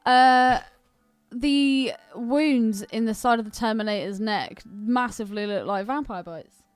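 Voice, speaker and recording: A woman's voice: on the high side, a little slow, smooth, no echo, faint music behind. A woman co-hosting a podcast in a casual, conversational style. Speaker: podcast co-host. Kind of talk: casual chat.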